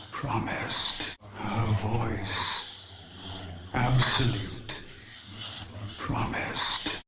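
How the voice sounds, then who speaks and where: An actor playing a weary, dutiful leader of refugee outcasts in a video game, speaking formally and earnestly. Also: male voice, fairly low pitch. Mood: dazed, halting. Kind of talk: dramatic video game voice acting.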